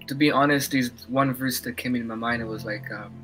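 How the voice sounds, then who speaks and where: Young rwandan guy on a headset, rwandan accent, relaxed and a bit hesitant, music behind. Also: medium pitch, fairly quick, rough recording. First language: Kinyarwanda. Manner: easygoing, hesitant, many fillers. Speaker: podcast guest. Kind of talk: casual video-call chat.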